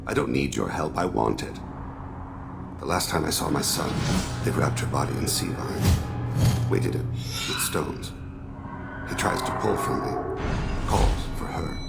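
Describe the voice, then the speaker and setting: An actor playing a solemn, regretful alien assassin and father in a video game, speaking in measured, formal, introspective phrases with a gravelly voice. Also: full character voice. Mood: haunted, anguished. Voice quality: very deep, heavily gravelly. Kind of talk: video game dramatic dialogue.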